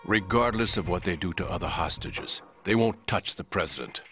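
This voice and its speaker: An actor playing a male covert agent in a video game, explaining secrets in an assured, measured manner. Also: low voice, pushed and bright, faint music behind. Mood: assured, explanatory. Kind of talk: video game cutscene acting, expository.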